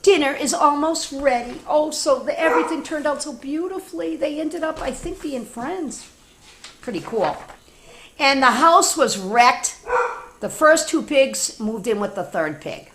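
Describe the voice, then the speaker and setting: A woman with an American accent reading a story aloud to children, animated and informal, with chatty asides. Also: sits high, measured pace. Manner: animated, informal, talks directly to young children. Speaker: teacher reading stories to children.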